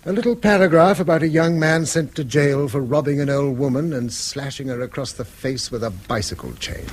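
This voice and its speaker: A man's voice: mid-range voice, slight background noise, lightly acted. A man acting a weary, bitter middle-aged schoolmaster and father in a British radio drama, speaking eloquently and self-reproachfully in a British accent. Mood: bitter, musing. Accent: British English. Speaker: actor.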